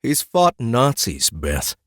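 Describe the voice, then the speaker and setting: A man's voice: medium pitch, huge pitch swings, slow, no echo, studio quality, voice pushed up, heavily acted. A man voicing a mild, befuddled middle-aged husband and father in a fantasy audiobook, hesitant and earnest. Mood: reassuring. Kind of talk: dramatic audiobook character dialogue.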